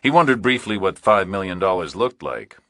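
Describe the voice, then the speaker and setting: A man's voice: deep voice, big pitch swings, moderate pace, a bit bright, no echo. A man narrating a thriller audiobook in a scripted, storytelling style.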